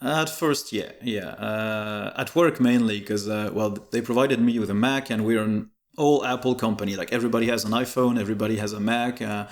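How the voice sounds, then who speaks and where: A man with French as his first language talks as a guest in a casual interview. His voice sits fairly low with a strong creaky edge, and he explains things in an easygoing, detailed way. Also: fairly even intonation, fairly quick, few pauses. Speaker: interview guest, tech YouTuber.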